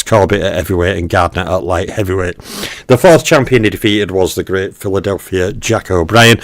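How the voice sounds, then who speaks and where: Low-voiced man talking over stat slides. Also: fairly bright tone, dry recording. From Western Europe. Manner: filler-heavy, frequent okays and ums; greets viewers in live chat. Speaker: boxing history channel host. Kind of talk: casual narrated stat breakdown.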